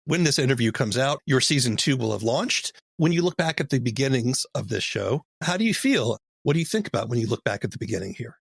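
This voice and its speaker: Gravelly, husky male interviewer. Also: medium pitch, expressive, fast talker, dry recording, clean studio sound. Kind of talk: conversational interview questions.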